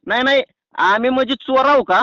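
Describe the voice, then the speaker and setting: A man's voice: very high voice, a little fast, pushed and bright, dry recording. A man with a rural Maharashtrian accent making a prank phone call, bantering and protesting in a cheeky, rambling way. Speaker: prank caller. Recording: muffled lo-fi phone call recording.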